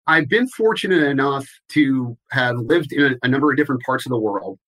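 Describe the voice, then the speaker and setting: A man answering interview questions in a measured, explanatory way, with some restarts. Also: mid-range voice, expressive, fairly bright tone, rough recording. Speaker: guest, compliance expert.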